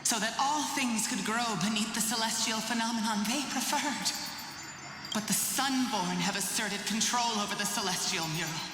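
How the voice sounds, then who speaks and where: A woman's voice: on the high side, close to an everyday voice. A woman playing a solemn, defiant priestess of an oppressed people in a fantasy game. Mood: grave. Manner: solemn, ceremonial, measured incantation. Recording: echoey hall reverb, music behind.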